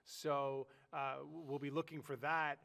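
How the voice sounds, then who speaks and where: A man with a higher-set voice talks sports in a loose, rambling way, full of "you know" and "uh" as he circles back on his points. A head cold leaves him sounding stuffed-up and nasal. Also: flat delivery, a little slow, gravelly, a bit bright. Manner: rambling, lots of fillers and restarts. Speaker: sports podcast co-host. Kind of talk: casual sports talk.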